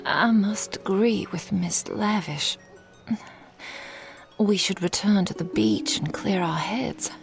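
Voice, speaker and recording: Woman playing a breathy, prim, genteel lady. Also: mid-range voice, lively intonation, takes it slowly, soft background music. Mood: struggling, aroused. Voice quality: breathy, half-whispered. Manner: formal, genteel, breathy hesitant phrasing. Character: prim, polite, easily flustered lady visitor. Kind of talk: audio drama character acting.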